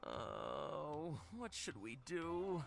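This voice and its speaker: A man's voice: fairly high pitch, very animated, extremely slow, gravelly, exaggerated voice. A man voicing an anxious young male toy maker in a game, apologetic and unsure. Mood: worried. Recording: game audio with faint background music.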